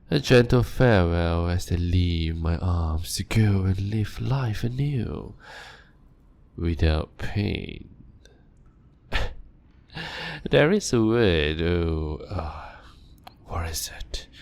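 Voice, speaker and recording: A man voicing an ancient male vampire doctor, calm and clinical, speaking softly and often in a whisper in an audio roleplay. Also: low-pitched, gravelly, full character voice. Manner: soft-spoken and measured, often whispering.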